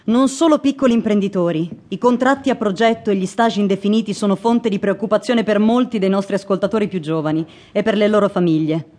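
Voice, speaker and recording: A woman playing a brisk, skeptical radio phone-in host in a radio drama, professional and placating. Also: mid-range voice, dark tone, close to an everyday voice. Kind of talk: naturalistic radio drama acting.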